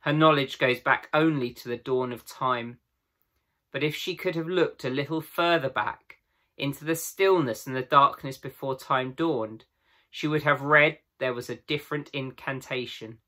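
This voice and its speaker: A man voicing a great, wise male lion in a storybook, speaking in a measured, solemn manner. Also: medium pitch, pushed and bright, lightly acted. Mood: solemn explaining.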